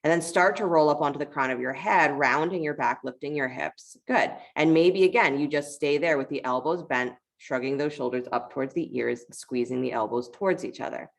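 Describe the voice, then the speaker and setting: A woman teaching a guided yoga class, giving step-by-step movement cues in a conversational, encouraging manner with a creaky voice. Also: low voice, a little fast, few pauses.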